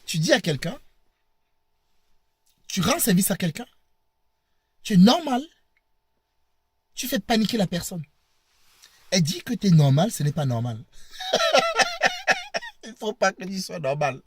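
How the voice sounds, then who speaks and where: A man with a cold talking casually to his viewers from a parked car, halting and repeating words, often laughing. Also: high-pitched, wildly swooping pitch, a little hiss or hum. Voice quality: husky. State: hoarse with a cold. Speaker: video blogger.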